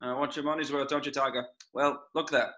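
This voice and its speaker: A man's voice: medium pitch, flat delivery, a little fast, pushed and bright, close to an everyday voice. A man playing a stern, blustering middle-aged father in an amateur table read, recorded at home with noise and clicks. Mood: indulgent.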